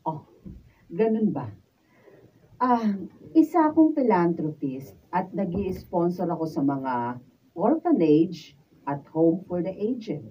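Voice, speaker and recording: A rich, generous Filipino philanthropist in a radio drama. Her voice is fairly low and lively, gracious and businesslike. She sounds slightly off-mic with a little noise behind her. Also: takes it slowly, pauses often, far from the mic, muffled recording. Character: wealthy, generous female philanthropist offering work.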